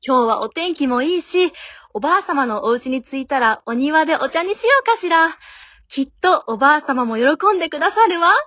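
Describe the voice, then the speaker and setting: A woman's voice: high voice, animated, brisk, pushed and bright, no echo, muffled recording. A woman playing a breezy, oblivious young girl with prim storybook phrasing in an amateur radio drama. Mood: blithe, cheerful.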